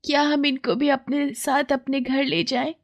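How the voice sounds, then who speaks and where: A woman's voice: sits high, level tone, moderate pace, pitched above the performer's own voice. A woman voicing an earnest, affectionate poor young girl in a children's moral story. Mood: pleading.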